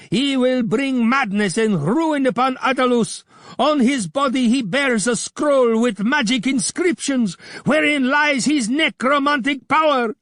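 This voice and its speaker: A man's voice: very high voice, fairly bright tone, no room sound, clean studio sound, pitched above the performer's own voice. A man voicing a frantic, hateful male villain who screeches his accusations.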